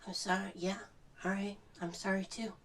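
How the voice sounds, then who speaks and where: A woman's voice: medium pitch, flat delivery, moderate pace, a little hiss or hum, natural voice. A woman playing a harried, emotional movie star in a naturalistic screen drama. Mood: apologetic, flustered. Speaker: lead character and narrator.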